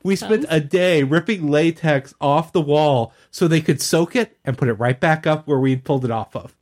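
A man's voice: a little high, normal speed, a little mellow. A man telling anecdotes in a casual, chatty podcast conversation. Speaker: podcast regular telling stories.

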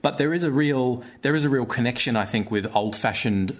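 A man's voice: mid-range voice, brisk. An Australian man speaking conversationally as an interview guest, earnest and hesitant as he searches for his words. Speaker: guest, theatre maker and actor.

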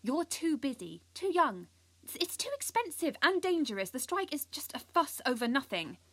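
A woman's voice: high-pitched, big pitch swings, moderate pace, fairly bright tone, no room sound, pitched above the performer's own voice, full character voice. A woman playing an anxious, overburdened mother in an audio drama, insistent and disapproving. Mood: dismissive, worried.

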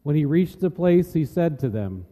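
A man's voice: a little high, big pitch swings, moderate pace, warm tone. A man narrating scripture from a script as part of a dramatic reading. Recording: echoey hall with long reverb.

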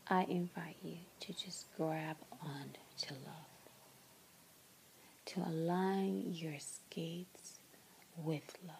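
A woman guides a meditation in a soft, breathy voice that is mostly whispered. Her delivery is extremely slow and gentle, with long pauses between short phrases. The tone is mellow and soothing, over faint ambient noise. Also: fairly low pitch, rough recording.

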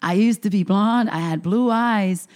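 A woman from Finland, a comedian and health coach, telling stories in casual chat, animated, full of fillers and swearing freely. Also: medium pitch, normal speed.

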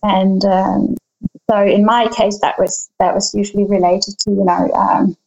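A woman's voice: mid-range voice, flat delivery, unhurried, gravelly, warm tone, dull audio. Hesitant woman researcher on a panel. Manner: thoughtful, hesitant with many fillers. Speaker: panel guest, field researcher. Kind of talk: panel discussion answer.

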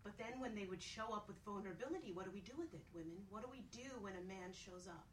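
A woman's voice: medium pitch, fast talker, far from the mic, a little hiss or hum, low-quality recording. A woman on a radio talk panel, speaking candidly and emphatically, often posing rhetorical questions. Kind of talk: candid conversational discussion.

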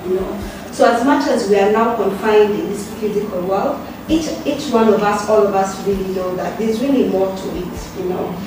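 A woman from South Sudan on a church women's ministry team speaks thoughtfully, often adding "you know". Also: medium pitch. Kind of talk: reflective church discussion. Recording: echoey room from a distance, noisy.

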